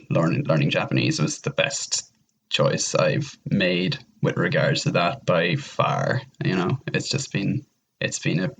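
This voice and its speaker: Low-pitched Irish man chatting casually. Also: creaky, fairly bright tone, husky, low-quality recording. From Ireland. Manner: rambling, repeats words. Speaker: podcast guest. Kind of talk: casual interview chat.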